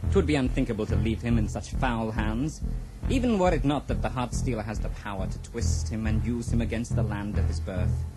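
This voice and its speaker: An actor playing a wise, cautious wizard counsellor in a fantasy audio drama, with measured, portentous, archaic delivery. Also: male voice, on the low side, lightly acted, low-quality recording. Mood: earnest counsel. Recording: loud music under the voice.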